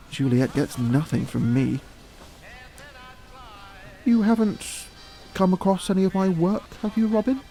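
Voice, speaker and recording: An actor playing a worldly, middle-aged father in an audio drama, smooth-talking, provocative and theatrical. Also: medium pitch, darkish tone. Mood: probing, candid.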